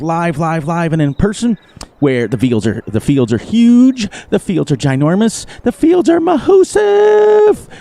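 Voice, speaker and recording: Hoarse, lively-voiced male game streamer. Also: a little high. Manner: rambling, playful, sing-song repetitions. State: a little hoarse. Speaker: gaming livestreamer. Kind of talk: casual live gameplay commentary.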